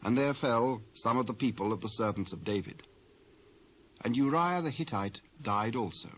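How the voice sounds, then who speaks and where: A male narrator with a British accent reads scripture from a script. His voice is husky and of medium pitch. The recording is a dull, aged cassette. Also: moderate pace. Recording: dull old cassette tape.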